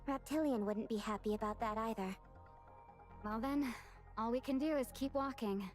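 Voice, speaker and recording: A woman voicing young heroic female characters in a video game, with a playful, animated delivery. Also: a little high, close to an everyday voice. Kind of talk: voiced video game dialogue.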